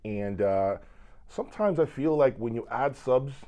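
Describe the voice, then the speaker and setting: A man with a mid-pitched voice hosts an interview on location. He talks up the products with enthusiasm and a salesman's emphasis, putting questions to his guest. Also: lively intonation, a little slow, dark tone. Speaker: video channel host interviewing exhibitors. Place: hotel exhibit room at an audio show.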